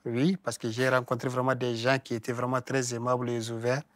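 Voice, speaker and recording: A man from Africa describing his experience of settling in, warmly emphatic and often stressing his words. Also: medium pitch, flat delivery, a little fast, dry recording. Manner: warmly emphatic, repeats "vraiment". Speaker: discussion participant, immigrant. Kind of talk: personal testimony.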